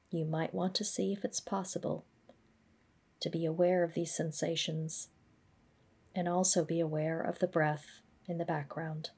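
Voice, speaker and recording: A woman gently guiding a body scan meditation, speaking in a measured, instructional way. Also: lowish voice, slightly rough. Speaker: mindfulness practice guide.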